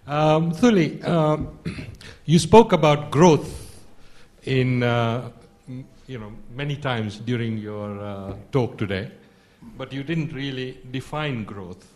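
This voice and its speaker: Man, from kenya, audience member, mid pitch, earnest, pointed question. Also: takes it slowly, a little mellow.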